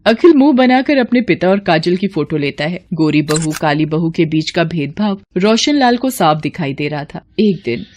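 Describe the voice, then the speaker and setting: A woman's voice: lowish voice, lively intonation, normal speed, few pauses, darkish tone. A woman reading scripted story narration for a family cartoon.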